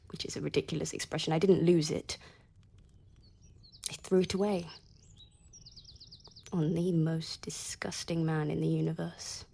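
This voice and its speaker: Young society woman, bitter, sardonic, intense, soft dark timbre, breathy, radio drama. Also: low voice, level tone, measured pace, long pauses, heavily acted. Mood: sardonic, brittle. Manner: sardonic, intense, swinging into hushed whispers.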